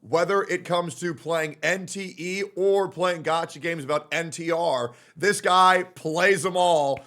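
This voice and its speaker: A man in his thirties hosts a casual online chat, his voice sitting high. He talks in a hyped, profane rush, hyping up each guest. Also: fairly bright tone. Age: 30s. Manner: hyped, profane, fast banter. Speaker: podcast host.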